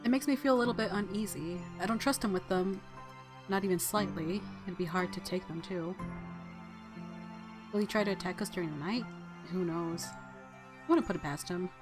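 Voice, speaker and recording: A woman's voice: fairly low pitch, normal speed, long pauses. A woman let's player doing casual gameplay commentary and reading game narration aloud. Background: faint game music.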